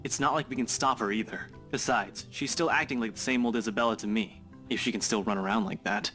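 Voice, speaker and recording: A man's voice: mid-range voice, fast, pushed and bright, soft background music, close to an everyday voice. An actor playing a blunt, skeptical young man in a visual novel game, matter-of-fact and dismissive as he reasons with friends. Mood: firm reassurance.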